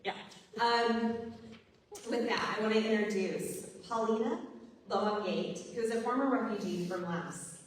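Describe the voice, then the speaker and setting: A woman's voice: mid-range voice, measured pace. A woman moderating a conference panel, friendly and self-deprecating as she introduces the speakers. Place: conference hall stage. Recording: roomy hall, distant mic, faint noise.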